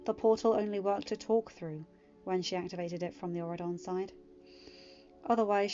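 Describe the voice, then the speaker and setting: A woman reading fan fiction aloud in an expressive storytelling manner. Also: medium pitch, lo-fi sound.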